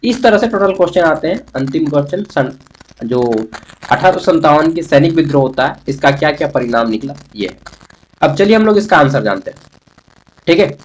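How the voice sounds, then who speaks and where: A man's voice: on the high side, expressive, a little fast, breathy edge, rough recording. A man giving an instructional exam-prep lecture, explaining point by point with frequent check-in tags. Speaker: online teacher.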